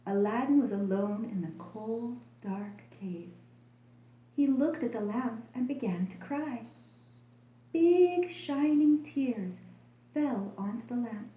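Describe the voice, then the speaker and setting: A woman telling a children's story as an expressive storybook narrator. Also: on the high side, slow pace, lo-fi sound. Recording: roomy, echoing room sound.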